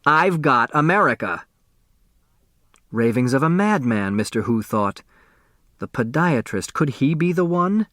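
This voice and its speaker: A man's voice: mid-range voice, very animated, moderate pace, dry recording, clean studio sound. A man reading a story aloud as a scripted audiobook narration.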